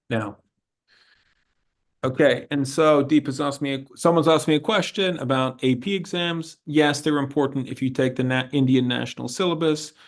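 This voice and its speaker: A man's voice: mid-range voice, creaky. A man working as an admissions consultant, informally answering student questions in a frank, encouraging way and often repeating himself. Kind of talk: informal advisory Q&A.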